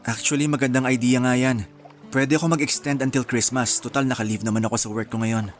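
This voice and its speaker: A man's voice: medium pitch, steady tone, fairly quick, lightly acted. Actor playing young man, Filipino accent, easygoing, reflective, English phrases mixed in, radio drama, faint music. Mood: agreeable. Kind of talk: Tagalog radio drama acting.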